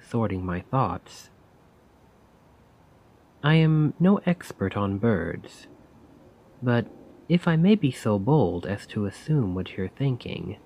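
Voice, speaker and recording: A soft-spoken man playing a melancholy, self-doubting poet in an ASMR roleplay, musing wistfully in formal, poetic words with a breathy voice. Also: fairly low pitch, muffled recording, full character voice. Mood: gently hopeful.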